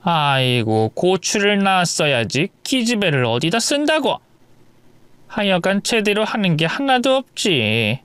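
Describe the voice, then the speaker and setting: A man's voice: high-pitched, very animated, measured pace, a bit bright, clean tone, no echo, pitched above the performer's own voice, heavily acted. A man voicing a harsh, nagging older mother-in-law who scolds her pregnant daughter-in-law. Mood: scolding. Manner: nagging, contemptuous.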